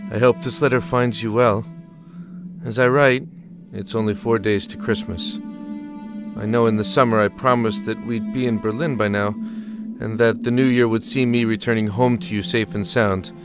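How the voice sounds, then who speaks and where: A man's voice: on the low side, natural voice. A man playing a weary, affectionate army lieutenant reading out his letter home in an audio drama, tender and stoic. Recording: music under the voice.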